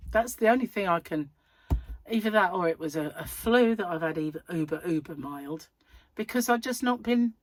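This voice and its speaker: Croaky, husky woman chatting. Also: lowish voice, no room sound. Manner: rambling, chatty, self-mocking. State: croaky voice from a cold. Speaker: crochet channel host. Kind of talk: casual chatty vlog. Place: at home, seated in a chair.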